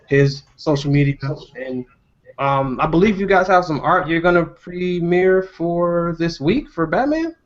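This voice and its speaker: A man with a somewhat high-pitched voice hosts a relaxed group chat. He comes across as earnest with a playful streak. The recording is a little muffled and lo-fi. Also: a little slow. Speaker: host and indie comic writer. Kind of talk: casual panel discussion. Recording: dull, muffled lo-fi audio.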